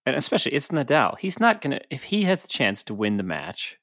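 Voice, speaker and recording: A man's voice: mid-range voice, sing-song, brisk, a bit bright, no room sound, clean studio sound. A man co-hosting a podcast in casual sports discussion, talking in a conversational, argumentative way. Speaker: podcast co-host.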